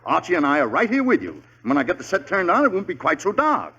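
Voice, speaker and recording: A put-upon middle-aged dad in a vintage radio sitcom. His voice sits high and leaps about dramatically, swinging from grumbling to irritated outbursts. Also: wildly swooping pitch, quick pace, pushed and bright, breathy edge, lo-fi sound, full character voice. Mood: weary impatience. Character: exasperated middle-aged suburban father trying to watch television. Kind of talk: old-time radio situation comedy acting. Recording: vintage radio broadcast recording.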